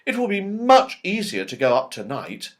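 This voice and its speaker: An eager young boy read by a man in a storybook adventure. He is keen and matter-of-fact, with a very lively rise and fall in his speech. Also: high-pitched, moderate pace, pitched above the performer's own voice.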